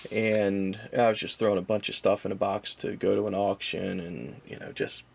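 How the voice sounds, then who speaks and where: Low, gravelly man rambling casually. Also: flat delivery, moderate pace. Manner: folksy, self-deprecating, wandering off on tangents. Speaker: vlogger and online reseller of vintage collectibles. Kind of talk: casual, rambling unscripted vlog talk.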